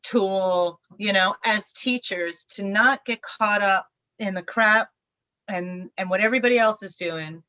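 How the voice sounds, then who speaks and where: A woman's voice: mid-range voice, a little slow, bright, forceful tone, no room sound, poor audio. A woman musician being interviewed, talking in a reflective, rambling way with many fillers and restarts. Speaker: band singer, interview guest. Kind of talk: casual interview chat.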